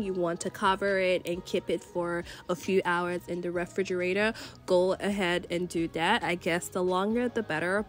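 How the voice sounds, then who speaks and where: Casting note: woman from Nigeria, lowish voice, stuffy and congested, chatty cooking voice-over, soft music underneath. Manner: chatty, relaxed, many fillers like "you know". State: congested from a cold. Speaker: cooking channel host. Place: voice-over recorded separately from the kitchen footage.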